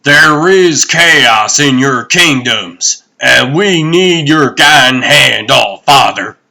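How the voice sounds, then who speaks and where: Man as a gravelly, solemn thunder-god warrior. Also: medium pitch, animated, takes it slowly, bright, forceful tone, heavily acted. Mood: urgent, solemn. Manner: grave, formal, archaic. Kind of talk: comic book dub, dramatic voice acting.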